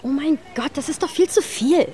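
An actress playing a spirited, expressive young woman with a husky voice in a literary radio play. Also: high-pitched, normal speed, soft background music, lightly acted. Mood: playful protest. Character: Sophia, spirited, easily exasperated young woman, second-generation immigrant.

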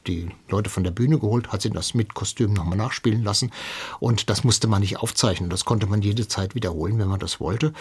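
A man's voice: fairly low pitch, slightly rough. A man speaking as an expert guest in an interview, explaining history in an anecdotal way.

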